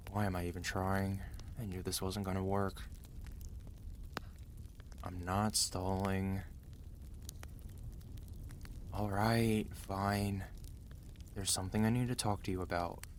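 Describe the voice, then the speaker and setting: A man's voice: deep voice, slight background noise. A man playing a weary, protective mafia boss husband in an intimate ASMR roleplay, speaking tenderly and earnestly, as if confiding. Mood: reluctant, serious.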